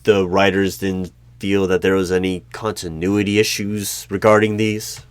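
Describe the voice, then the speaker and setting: A man playing a creepy, skeptical hiring manager in a one-sided job interview roleplay, speaking in a deliberate, probing way. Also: sits low, flat delivery, clean tone, no echo, close to an everyday voice. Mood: incredulous. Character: creepy, skeptical male hiring manager of a mysterious secret organization. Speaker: roleplay performer.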